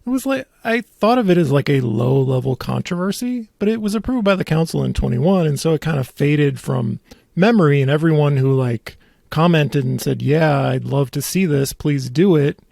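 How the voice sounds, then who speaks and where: Male podcast host, husky and dry-recorded, giving casual, wry commentary. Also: fairly high pitch, expressive, normal speed, darkish tone, no echo.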